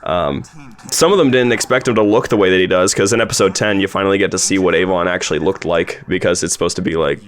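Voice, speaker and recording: A man's voice: deep voice, fairly even intonation, fast. A man chatting casually and enthusiastically as host of a commentary discussion. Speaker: host and producer of an audio drama.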